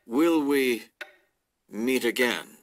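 A man playing a stern, calculating middle-aged lord in a video game, measured and authoritative. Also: medium pitch, expressive, slight background noise. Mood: wry suspicion. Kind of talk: video game cutscene acting.

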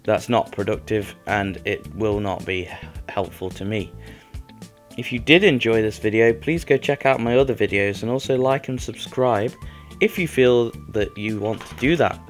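A young man with a slightly croaky voice from a cold, chatting casually about a video game over gameplay as a channel host, rambling with lots of fillers. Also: lowish voice, normal speed. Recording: faint background music.

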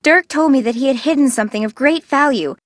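An actress playing a grieving, bitter young Old West gentlewoman in a video game, reading a letter in a formal, solemn way. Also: sits high, fast, no echo, clean studio sound, natural voice. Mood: mournful, bitter. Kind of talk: dramatic acted letter reading.

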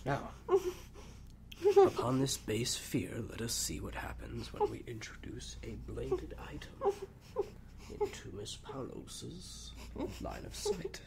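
A man playing a sadistic, clinical mad scientist villain who savors fear, recording a halting audio-drama log. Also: medium pitch, wildly swooping pitch, gravelly, a crowd in the background, exaggerated voice. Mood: calmly clinical. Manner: slow, halting, savoring, hushed at times. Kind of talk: acted audio log monologue.

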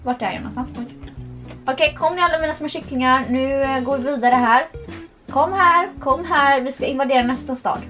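A young woman with a high, bright-pitched voice chats playfully through a session of a horse game. She giggles often and talks to her webcam in a small room. Faint music plays under her talk. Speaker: vlogger. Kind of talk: casual gameplay commentary.

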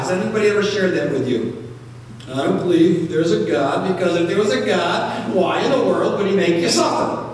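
A middle-aged American man preaching a sermon as a pastor, phrasing emphatically and deliberately with dramatic pauses. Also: high voice. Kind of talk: sermon from a pulpit. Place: large church sanctuary, at pulpit. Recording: very echoey church hall, distant mic, noisy.

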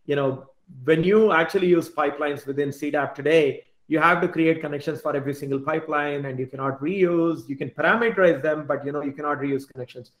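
A man's voice: fairly high pitch, fairly quick, a bit bright. A man giving an informal slide presentation on a video call, often restarting his words and adding filler asides. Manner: repeats and restarts words, frequent "you know" asides. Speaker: tech team lead presenting at an online meetup. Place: bright room at home or small office, indoors. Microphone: computer mic on a video call. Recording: echoey room on video call.

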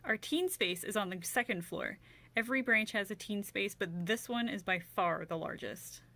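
An American woman in her thirties presenting and reading as a children's librarian, expressive and clearly enunciated, with dramatic pauses. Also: mid-range voice. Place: indoor library room.

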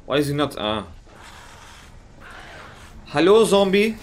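A man, slightly raspy from just waking up, giving casual let's-play commentary on a horror game, swearing often and thinking out loud. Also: fairly high pitch, sing-song, slow pace, slight background noise. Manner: swears often, thinks aloud, reacts with surprise. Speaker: gamer playing a horror game.

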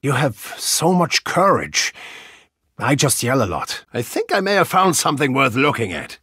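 A man playing a brash, violent, childlike soccer-hooligan agent in a video game, boisterous and swinging between muttering and yelling. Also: medium pitch, very animated, normal speed, husky, no echo, clean studio sound, heavily acted. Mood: dry, casual.

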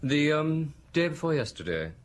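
An actor playing a genteel, hesitant nephew of a count in a radio drama. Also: male voice, a little high, very animated, normal speed. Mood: uneasy, polite. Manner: hesitant, polite. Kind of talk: old radio drama acting.

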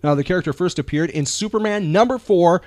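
A man hosting a casual talk show, speaking earnestly and haltingly, often repeating words as he goes. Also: sits high, a little mellow, dry recording. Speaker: podcast host.

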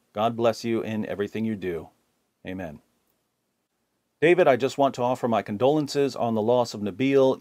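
A man reading viewer comments aloud in a plain, steady voice. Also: mid-range voice, dry recording, clean studio sound.

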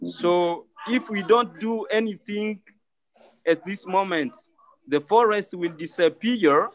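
A man from Congo, a native French speaker speaking English with a Congolese French accent, talking as a climate activist on a video call in a halting, apologetic way and repeating words. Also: high-pitched, fairly bright tone, no room sound, poor audio.

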